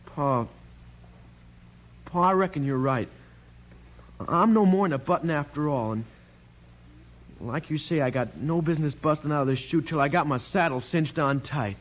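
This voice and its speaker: A man's voice: a little high, normal speed, long pauses, close to an everyday voice. An American man playing an earnest, humble teenage cowboy in a 1950s radio western. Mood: contrite.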